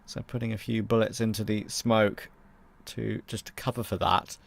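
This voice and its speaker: A man's voice: fairly low pitch, little pitch movement, moderate pace, gravelly, dry recording. A man in his late twenties doing play-by-play commentary on an esports match, trading easy banter with a co-caster. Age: 20s.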